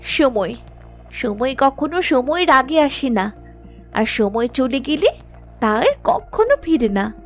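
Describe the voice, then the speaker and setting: A woman's voice: high-pitched, sing-song, takes it slowly, faint music behind, exaggerated voice. A woman voicing a brave, earnest young princess in a fairy-tale cartoon, speaking expressively. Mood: confident.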